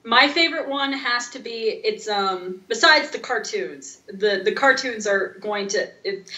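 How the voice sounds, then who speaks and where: A woman's voice: medium pitch, unhurried, bright, forceful tone, echoey room. A woman chatting casually as a podcast co-host. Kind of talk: casual chat.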